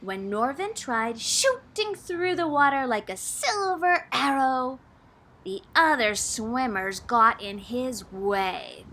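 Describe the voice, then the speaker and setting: A woman's voice: high-pitched, animated, slow pace, pushed and bright, a little hiss or hum. A woman reading a storybook aloud as a drama teacher, with theatrical, dramatic emphasis. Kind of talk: expressive storybook read-aloud.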